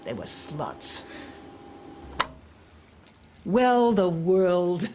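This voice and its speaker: An actress playing a stern, fanatical older indoctrinator in an audio drama, preachy and scolding. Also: female voice, on the low side, very animated, very slow, warm tone, slight background noise, exaggerated voice. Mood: contemptuous.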